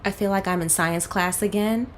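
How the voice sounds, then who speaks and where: A woman reacting to an animated series with casual, exclamatory commentary. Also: medium pitch, moderate pace, a little hiss or hum. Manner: chatty, exclamatory. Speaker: reaction video host.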